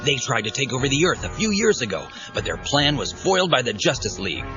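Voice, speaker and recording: A man's voice: mid-range voice, sing-song, fast talker, natural voice. A man playing a cocky, wisecracking speedster superhero in an audio drama, with a breezy, joking manner. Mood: thoughtful. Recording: dull cassette audio under loud music.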